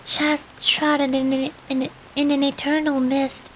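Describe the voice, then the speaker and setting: A woman streamer reading a game's story aloud in a dramatic, deliberate way. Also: high-pitched, level tone, slight background noise, rough recording.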